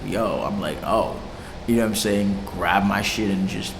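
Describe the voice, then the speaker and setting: A lowish-voiced young man from Haiti chats loosely in an interview, dropping slang, curses and a steady stream of fillers. His voice rings around a reverberant room. A clear hum of background noise sits under every word. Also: normal speed. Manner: loose, swearing, laughs easily, lots of fillers. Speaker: interviewed music producer. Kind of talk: casual interview chat.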